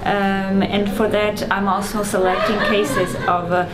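A woman's voice: mid-range voice, level tone, unhurried, rough recording. Young woman, PhD student, hesitant, filler words, crowd chatter behind. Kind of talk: interview to camera. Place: indoor hallway.

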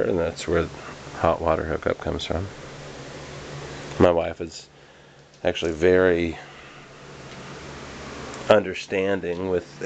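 A man's voice: low voice, gravelly. A man giving a casual, rambling walkthrough of his home basement winemaking setup, filmed on a handheld camera. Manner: relaxed, rambling, hesitant with fillers. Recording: noisy camcorder audio with fan hum. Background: fan and air conditioning hum.

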